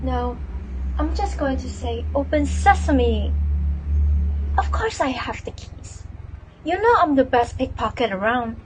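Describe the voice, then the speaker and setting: Cocky high-voiced pickpocket girl played by a woman. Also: expressive, a little slow, pauses often, a little hiss or hum, rough recording. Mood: cocky, sarcastic. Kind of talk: scripted reader's theater acting.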